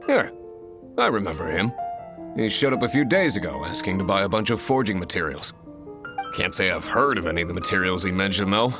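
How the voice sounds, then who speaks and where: A man playing a gruff, blunt, no-nonsense blacksmith in an English game dub, curt and brusque. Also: fairly low pitch, close to an everyday voice.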